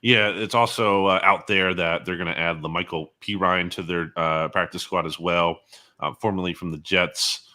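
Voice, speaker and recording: A man's voice: deep voice, steady tone, moderate pace, pushed and bright. A man giving analysis as a guest on a sports interview show.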